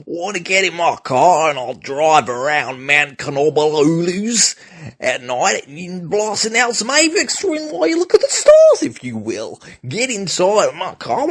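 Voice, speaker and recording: A man's voice: sits high, husky, full character voice. A man voicing a swaggering driver in a comic sketch. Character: swaggering Australian car driver offering night rides and music. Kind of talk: comic character voice samples.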